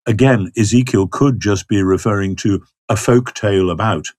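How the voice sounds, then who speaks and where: Measured man with a low voice. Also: darkish tone, dry recording, studio quality. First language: not Hebrew. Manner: measured, hesitant, many restarts and fillers. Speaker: biblical scholar guest. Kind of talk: thoughtful conversational interview.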